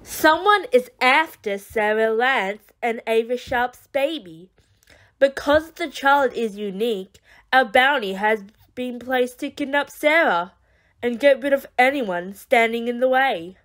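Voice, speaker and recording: A woman's voice: fairly high pitch, animated, a little slow, fairly bright tone, voice pushed up. A woman voicing a smug, teasing woman schemer who likes to irritate, in an audio drama. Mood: grave. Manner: teasing, nonchalant.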